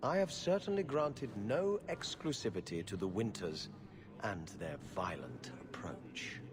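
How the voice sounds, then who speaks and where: A man's voice: lowish voice, lively intonation, a little slow. A haughty court official in a fantasy game. He is formal and wearily disdainful, with very varied intonation. His words ring in a reverberant hall. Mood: weary, disdainful. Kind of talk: video game cutscene acting. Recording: reverberant hall, faint noise.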